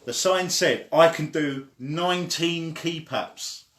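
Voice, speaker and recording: A man reading a children's story aloud in an expressive way, acting out the quoted lines. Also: fairly high pitch, slow pace. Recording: echoey room.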